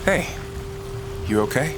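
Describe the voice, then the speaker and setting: A man playing a wisecracking, tender, self-mocking adventurer in an audio drama, talking in a halting, wry, conversational way. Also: mid-range voice, animated, loud music behind the voice. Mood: earnest. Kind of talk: fan audio drama acting.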